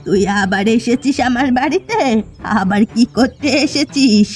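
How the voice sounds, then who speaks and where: Lady doing a stubborn old granny fisher in a cartoon, high voice, wont budge, faint music. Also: expressive, moderate pace, darkish tone, close to an everyday voice. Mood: defiant. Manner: firm, defiant. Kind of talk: Bengali cartoon story voice acting.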